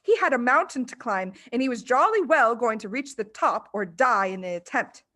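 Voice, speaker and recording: A woman reading a children's story aloud as expressive scripted narration. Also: on the high side, moderate pace. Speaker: storybook reader.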